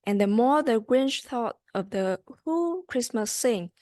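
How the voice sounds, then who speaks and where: A young adult woman, a student, reading a rhyming storybook aloud haltingly, repeating words as she goes. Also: a little high, lively intonation, darkish tone, no echo.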